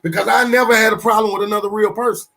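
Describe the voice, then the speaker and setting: A man in his fifties talking casually on a podcast, animated and swearing, full of restarts as he tells stories. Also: high-pitched, racing, vocal fry. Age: 50s.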